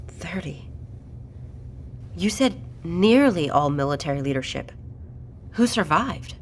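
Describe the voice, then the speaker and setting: A woman's voice: lowish voice, expressive, slow pace, slight background noise. A woman playing a direct, questioning doctor and project leader in an audio drama. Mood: shocked, probing.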